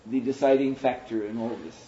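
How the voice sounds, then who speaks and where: A man speaking as a spiritual teacher in a question and answer session, thoughtful and unhurried, pausing often. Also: mid-range voice, fairly even intonation, a little hiss or hum. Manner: thoughtful, unhurried, with pauses and soft laughs. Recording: roomy hall, muffled and lo-fi.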